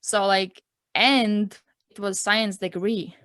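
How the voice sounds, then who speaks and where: A woman in her twenties from Latvia, speaking English with a Latvian accent as her second language, telling her own story as an interview guest in a reflective, earnest way, filling pauses with "like" and "um". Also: medium pitch, steady tone, fairly bright tone, no room sound, studio quality. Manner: reflective, earnest, peppers speech with "like" and "um". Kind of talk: personal story in a casual interview.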